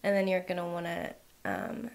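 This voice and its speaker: A young woman hosting a home cooking channel, walking through a recipe in a relaxed way with lots of "um" and "like". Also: fairly low pitch, moderate pace, creaky. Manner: relaxed, filler-heavy instructions with frequent "um" and "like". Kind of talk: casual recipe voice-over.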